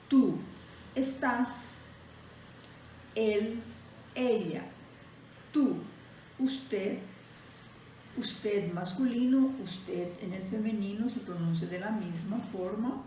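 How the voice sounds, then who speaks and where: Slow, mellow woman teacher, echoey room. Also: on the high side, far from the mic. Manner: teaches by comparing Portuguese and Spanish, reading out example sentences. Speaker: Portuguese teacher. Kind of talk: slow, deliberate grammar lesson. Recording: very echoey room, voice set back from the mic, faint noise.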